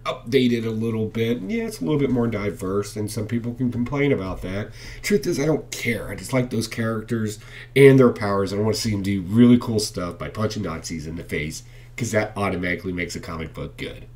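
A man's voice: mid-range voice, a little mellow. A man in his thirties casually reviewing comic books at home to a webcam, enthusiastic and blunt, with frequent fillers. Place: living room at home, indoors. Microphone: webcam facing him at close range.

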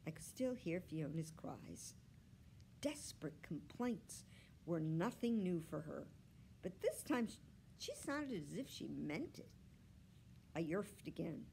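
Woman as an expressive, unhurried storybook dog narrator. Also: lowish voice, gravelly, slight background noise, exaggerated voice, poor audio. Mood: fretful. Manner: earnest, confiding, expressive. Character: earnest, fretful male pet dog telling his story.

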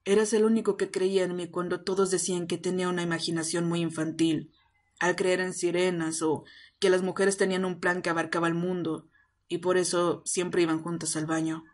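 A woman's voice: on the low side, flat delivery, a little fast, close to an everyday voice. A woman playing a wounded, self-pitying young man who feels betrayed, in an amateur roleplay drama. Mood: sad, disillusioned.

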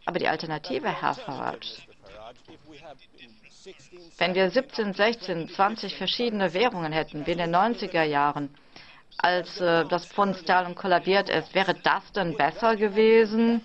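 Lower-pitched woman interpreting, slightly dull sound. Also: normal speed. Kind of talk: simultaneous interpretation of parliamentary debate.

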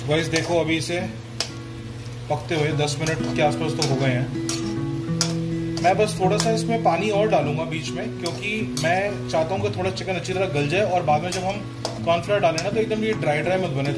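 A man's voice: sits high, moderate pace. A man walking viewers through a home cooking recipe in a casual, chatty way, mixing in English phrases and calling them guys. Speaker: cooking channel host. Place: home kitchen at a gas stove. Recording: echoey kitchen with loud background music. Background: sizzling oil and stirring, music.

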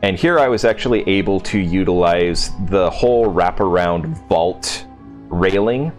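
A man with a low-pitched voice talks through a video game build as its host. His delivery is informal and unscripted, like someone thinking aloud while showing viewers around. Music plays behind the voice-over. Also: measured pace. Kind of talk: casual gameplay walkthrough commentary.

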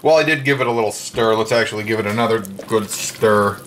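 A man casually narrating his home cooking step by step, chatty and relaxed, with plenty of fillers, recorded in a kitchen with the windows open. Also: on the low side, fast talker, a little hiss or hum.

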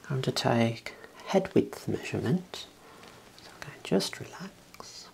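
Whispering man, slow and hushed ASMR. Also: medium pitch, expressive, vocal fry, rough recording. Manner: hushed, unhurried, reassuring clinical instructions. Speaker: ASMR performer role-playing an optician. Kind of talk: ASMR roleplay, soft whispering. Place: small indoor room. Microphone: clip-on wireless mic on lapel, close.

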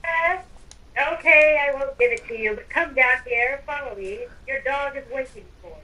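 A woman's voice: high-pitched, normal speed, pushed and bright, low-quality recording. A woman improvising in-character roleplay during a video game, with theatrical, stiffly formal phrasing. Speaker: Minecraft roleplay player.

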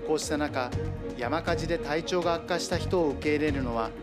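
A man's voice: a little high, fairly quick. A man reading scripted narration for a television news report as its reporter. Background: background music.

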